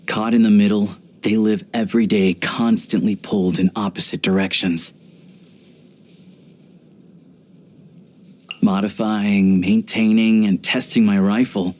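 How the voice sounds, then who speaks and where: A man voicing a video game character, an earnest, pragmatic young hunter and rifleman who talks in a measured, reflective way. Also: on the low side, a little mellow, close to an everyday voice. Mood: thoughtful.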